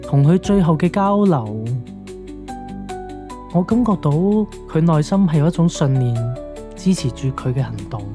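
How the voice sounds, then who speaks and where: A man's voice: fairly high pitch, animated, a little slow. A man playing a grave, reflective police investigator in an audio drama. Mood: sombre. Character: sombre, reflective police investigator seeking the truth. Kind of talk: radio drama dialogue. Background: music.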